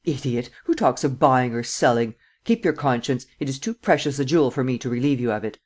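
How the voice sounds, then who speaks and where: A man voicing a coolly sardonic, persuasive prince in an audiobook, speaking with deliberate, imperious command. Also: mid-range voice, expressive, a little mellow, natural voice. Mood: forceful, eager. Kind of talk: dramatic audiobook character acting.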